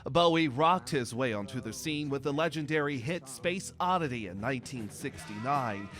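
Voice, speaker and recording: A man narrating a scripted news report in a measured, formal way. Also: mid-range voice, lively intonation. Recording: music bed under voice.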